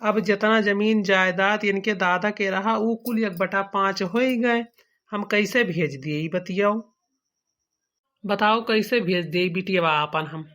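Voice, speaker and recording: A man's voice: high-pitched, a little slow, fairly bright tone. A man playing an aggrieved, calculating village father-in-law in a cartoon comedy, arguing point by point with an eastern Uttar Pradesh accent. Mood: argumentative, aggrieved. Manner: argumentative, explaining point by point.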